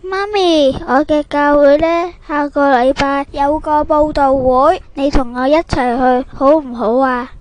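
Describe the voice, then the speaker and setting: A woman's voice: high voice, steady tone, unhurried, few pauses, no echo. A woman playing a whiny young soldier son. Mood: pleading. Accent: Cantonese. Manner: whiny, petulant.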